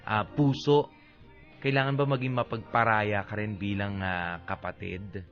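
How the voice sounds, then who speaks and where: A man's voice: on the low side, fairly even intonation, a little slow. A man with a Filipino accent co-hosting a radio show in casual, joking banter. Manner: jokey, conversational. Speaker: radio DJ and co-host. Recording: slightly dull audio, music bed.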